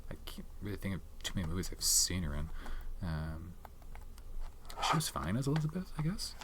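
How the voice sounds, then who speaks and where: A man speaking softly in an ASMR video, chatting casually and rambling with many fillers and restarts. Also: low voice, sing-song, fairly quick, noisy background. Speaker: ASMR channel host reviewing his film collection. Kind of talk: soft-spoken ASMR chat.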